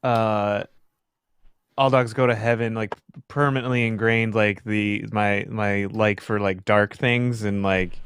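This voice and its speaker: Man chatting casually, clear close dry voice. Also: lowish voice, steady tone, a little slow, a bit bright, dry recording. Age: millennial, around 30s. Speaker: podcast panelist. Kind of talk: casual group chat.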